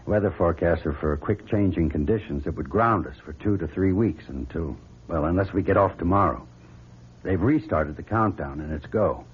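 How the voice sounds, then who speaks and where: An actor playing an emphatic, commanding male astronaut commander in a radio drama, with a husky voice. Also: sits low, moderate pace. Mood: serious. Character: male astronaut commander, husband, exasperated but steady. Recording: muffled radio drama recording.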